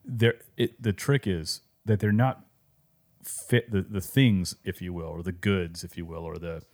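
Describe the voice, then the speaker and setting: A man co-hosting a podcast, thinking out loud in circling phrases with sudden bursts of emphasis. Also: sits low, fairly even intonation, measured pace. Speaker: podcast co-host. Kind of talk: casual podcast chat.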